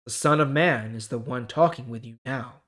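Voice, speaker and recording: A man voicing a calm, authoritative male teacher in a measured, solemn Bible story reading. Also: medium pitch, close to an everyday voice. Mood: calm authority.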